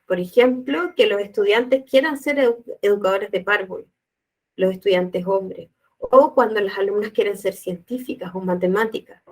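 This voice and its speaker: A woman giving a calm, explanatory educational talk to teachers and addressing viewers directly. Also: mid-range voice, moderate pace, low-quality recording. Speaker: teacher trainer. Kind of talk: educational presentation.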